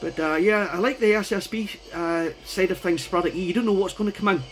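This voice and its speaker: High-pitched man chatting as a radio ham. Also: quick pace, a little hiss or hum. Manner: chatty, informal, repeats "you know what I mean". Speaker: amateur radio operator. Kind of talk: casual radio contact chat.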